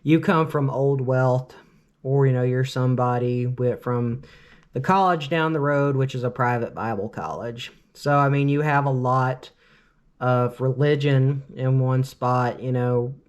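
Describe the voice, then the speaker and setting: A man from Cleveland in his late twenties talks straight to his vlog audience in an earnest, opinionated way. He rambles, restarts, and leans on filler words constantly, with a voice pitched at an average height for a man. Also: unhurried, clear, resonant tone, highs cut off. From Cleveland, Ohio, US. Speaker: vlogger. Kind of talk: casual storytime monologue.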